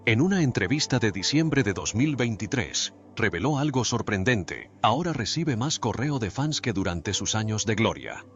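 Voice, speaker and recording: A man reading a scripted documentary narration in an even, measured way. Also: fairly low pitch. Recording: dull lo-fi voice-over with faint music. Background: faint background music.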